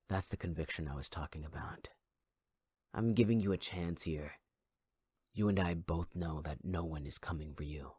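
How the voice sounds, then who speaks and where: Male actor as a scarred, possessive villain: very low, husky, taunting half-whisper, dry close mic. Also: darkish tone, dry recording. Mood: menacing. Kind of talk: ASMR audio roleplay.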